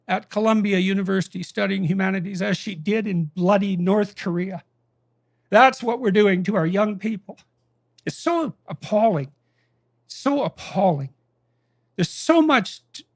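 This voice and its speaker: A man with a high, gravelly voice recounts a conversation in emphatic, impassioned storytelling. His voice is dry and close, with no room sound around it. He presses his points hard and grows indignant. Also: no echo.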